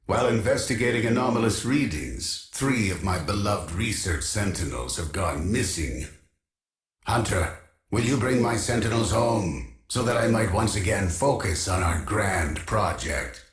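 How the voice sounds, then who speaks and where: An actor playing an obsessive, imperious keeper of a digital archive in a video game, speaking in a formal, pompous, measured way. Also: male voice, fairly low pitch, animated, roomy sound. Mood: grave concern. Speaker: video game quest-giving character. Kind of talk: scripted video game character dialogue.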